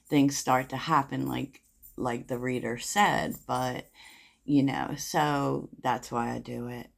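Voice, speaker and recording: A soft-spoken woman reads tarot in a relaxed, chatty way, wandering off into asides and laughing at her own remarks. Her voice sits low and carries a gravelly fry. She takes her time between phrases. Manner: soft-spoken, rambling, giggly, many fillers. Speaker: tarot reader. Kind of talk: casual tarot reading to camera.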